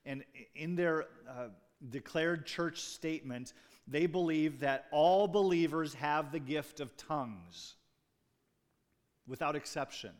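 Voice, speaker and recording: A man's voice: fairly high pitch, takes it slowly. A man preaching a sermon as pastor, talking conversationally with asides and jokes to his congregation. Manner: restarts and fillers, speaking off the cuff.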